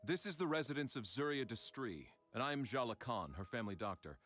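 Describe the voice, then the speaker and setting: An actor playing a sober, courteous family doctor in a video game, speaking formally and gravely. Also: male voice, mid-range voice, expressive, fairly quick, fairly bright tone, lightly acted. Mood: guarded, solemn.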